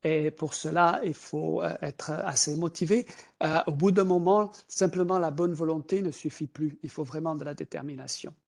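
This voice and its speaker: Middle-aged male recruiter, native Portuguese speaker, gravelly with a slightly raised pitch, halting and full of fillers. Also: fairly even intonation, a little mellow. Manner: hesitant, many fillers and repeated words. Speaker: recruiter presenting job opportunities. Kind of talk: webinar presentation.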